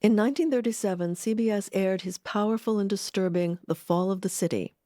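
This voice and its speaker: A woman reading scripted documentary narration in a measured, articulate way. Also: mid-range voice, mellow, dry recording, clean studio sound.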